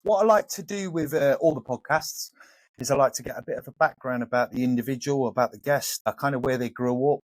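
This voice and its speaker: Fast-talking podcast host, dry sound. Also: male voice, medium pitch, no room sound, poor audio. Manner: friendly, informal, calls the guest "pal" and "mate". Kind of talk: casual interview hosting.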